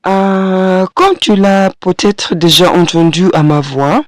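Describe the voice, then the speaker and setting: A woman in her thirties talks straight to her listener in a solo studio podcast, her voice hoarse and husky from a cold. She speaks very slowly and gently, with encouraging warmth and many small hesitations. The recording is dry and close, with no room sound. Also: on the low side, no room sound. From Kenya. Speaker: podcast host and French teacher. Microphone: studio microphone.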